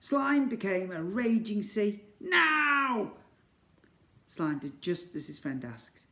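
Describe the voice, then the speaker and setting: A man's voice: high voice, sing-song, a little slow. A man reading a children's story aloud in a theatrical way. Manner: theatrical, exclaims sound words. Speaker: school story reader. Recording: echoey room.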